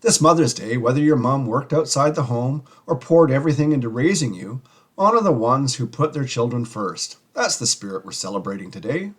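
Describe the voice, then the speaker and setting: A man hosts a radio-style news show. His voice is medium in pitch and rather husky, reading scripted commentary. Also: animated, a little fast.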